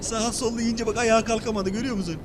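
A man giving excited, casual play-along commentary for a children's toy video, often repeating short phrases. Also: extremely high pitch, rapid-fire, background noise.

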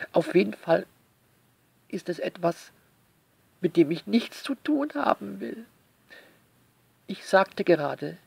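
A man speaks slowly in a fairly high, creaky voice, close and dry. He comments to his listeners with theatrical sarcasm and mock indignation, stretching key words for emphasis. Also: dry recording. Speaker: author commenting on their own novel. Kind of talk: sarcastic spoken essay addressed to listeners.

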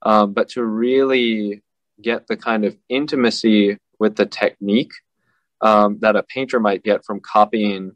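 A man, a translator, answering interview questions in a measured way with frequent pauses and fillers. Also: lowish voice, fairly even intonation, no room sound, lo-fi sound. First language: English. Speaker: translator guest. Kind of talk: casual interview.

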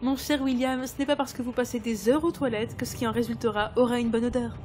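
A woman playing a sharp-tongued, sardonic book editor in an audio drama. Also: a little high, fairly even intonation, fast talker, close to an everyday voice. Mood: scornful, exasperated. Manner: cutting, sardonic. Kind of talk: French audio drama acting. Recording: music behind the voice.

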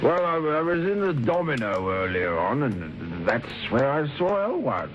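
A man's voice: mid-range voice, very animated, normal speed, close to an everyday voice. An actor playing a tipsy, gossipy married man with a rambling, chummy manner in an old radio drama. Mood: tipsy, confiding. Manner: rambling, hesitant, chuckling drunk talk. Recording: muffled old radio recording, music behind.

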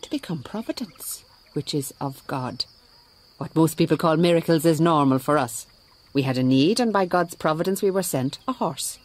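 A woman playing a shrewd, devout Mother Superior in a radio drama, speaking in a measured, dryly ironic way. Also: sits low, a little mellow. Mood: calm persuasion. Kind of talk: radio drama acting and narration.

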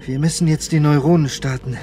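Actor as a pragmatic spaceman, slightly high voice. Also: fairly even intonation, brisk, mellow, lightly acted. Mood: calm, reassuring. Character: pragmatic, reassuring male spaceship scientist. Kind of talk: German radio drama acting.

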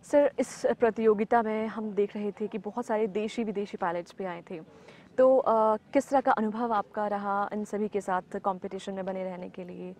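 A woman conducts the interview with polite, formal questions. Her voice sits at a typical middle pitch for a woman, and her phrasing stays courteous and measured. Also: fairly even intonation, darkish tone.

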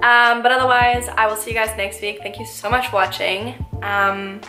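Young woman, bright voice, roomy echo, casual chat to camera. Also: a little high, fairly even intonation, normal speed. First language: English. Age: 20s. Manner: chatty, frequent fillers, gushing. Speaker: vlogger. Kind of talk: casual get-to-know-me chat. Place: small room indoors, seated in armchair. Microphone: camera mic, medium framing. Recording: roomy echo, faint music.

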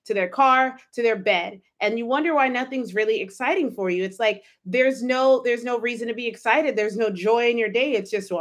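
An animated woman in her thirties hosts her own coaching podcast from a home office. She talks emphatically into a close studio mic and slips into quick put-on inner voices to make her points. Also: on the high side. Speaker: life coach and podcast host. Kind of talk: solo podcast monologue. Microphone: studio mic with pop filter, wearing headphones.

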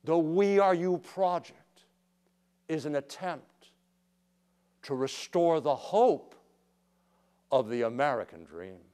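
Slow, lively dramatic male presenter. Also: fairly high pitch, clean tone. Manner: dramatic, slow poetic recitation. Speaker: narrator. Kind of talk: studio presenter reading a poem and commentary.

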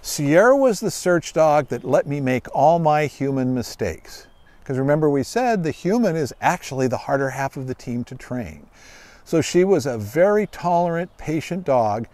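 A middle-aged man reading a children's storybook aloud outdoors in a deliberate, expressive way. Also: on the high side, no room sound. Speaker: guest author reading own children's book. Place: outdoors on a grassy lawn by trees.

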